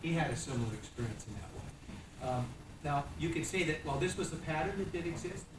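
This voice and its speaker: A man's voice: a little high, animated, fairly quick, recorded from a distance, noisy background. Man moderating a panel in an echoey hall. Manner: lecturing, prompting panelists with questions. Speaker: forum moderator and historian.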